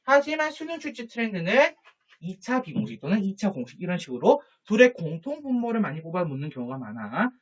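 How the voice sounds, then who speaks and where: Chatty male teacher, lively lo-fi lecture. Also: high-pitched, breathy edge. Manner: chatty, jokey asides and quick quiz questions to students. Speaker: high school social studies teacher. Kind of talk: online lecture to students. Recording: dull lo-fi recording.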